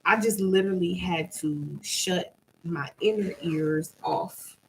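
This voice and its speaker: A woman's voice: lowish voice, slow pace, a little hiss or hum, rough recording. A woman co-hosting a casual chat with her partner, telling stories emphatically and acting out what people said, with repeated phrases. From Bahamian and American parents. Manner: emphatic storytelling with repeated phrases, acts out quotes. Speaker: co-host.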